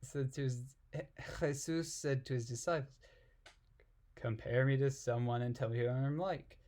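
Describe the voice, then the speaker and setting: A man's voice: mid-range voice, steady tone, normal speed, darkish tone, a little hiss or hum. A man reading aloud and commenting casually in an irreverent, giggly, puzzled manner. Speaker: amateur reader and commentator.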